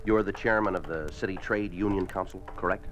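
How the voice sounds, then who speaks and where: An actor playing a cold, domineering communist official who speaks curtly in a 1950s radio drama. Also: male voice, sits low, brisk, fairly bright tone. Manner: curt, commanding, interrogating. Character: cold, domineering male Communist Party enforcer. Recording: noisy old 1950s radio broadcast.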